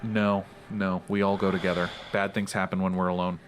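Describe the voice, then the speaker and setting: A man with a fairly low voice talks casually as a player in a tabletop role-playing game. The recording carries a little noise around him. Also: little pitch movement, fairly quick. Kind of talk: casual in-character table talk.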